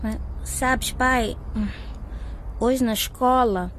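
A woman's voice: on the high side, extremely slow, slight background noise. A young woman playing an earnest, worried schoolgirl daughter in a radio drama. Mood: hesitant.

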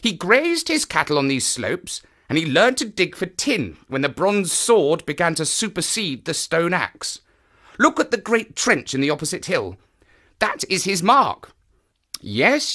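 A man playing an eager male naturalist who knows the moors, explaining with animated enthusiasm. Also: sits high, unhurried, heavily acted. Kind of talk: audio drama acting.

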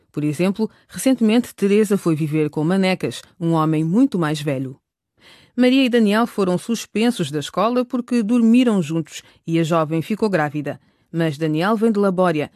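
A male narrator reads scripted radio drama narration. His voice sits on the high side, recorded dry. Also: a little mellow, dry recording.

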